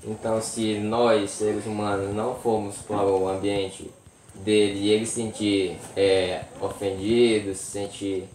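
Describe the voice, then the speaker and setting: A woman's voice: extremely low pitch, little pitch movement, measured pace, a little mellow, reverberant, far from the mic, a little hiss or hum, low-quality recording. A woman from a riverside community, speaking earnestly in an interview. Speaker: community resident.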